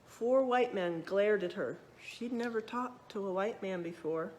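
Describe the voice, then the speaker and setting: A woman reading a children's picture book aloud, expressively, pausing to check in with young listeners. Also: mid-range voice, a little mellow, slight background noise, low-quality recording. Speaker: read-aloud storyteller.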